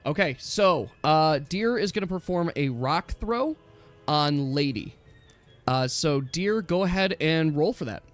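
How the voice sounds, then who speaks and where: A man's voice: fairly high pitch, lively intonation, measured pace. A man acting as game master in a casual tabletop game, explaining rules step by step. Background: background music.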